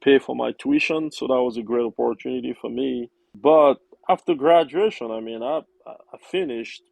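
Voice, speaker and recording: A middle-aged man from Cameroon, raised speaking French, talks as a guest in an easygoing, unhurried way. His voice is heavily gravelly and mellow. The sound is dry and close. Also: mid-range voice, no room sound. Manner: relaxed, frequent fillers and restarts. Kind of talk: casual interview conversation.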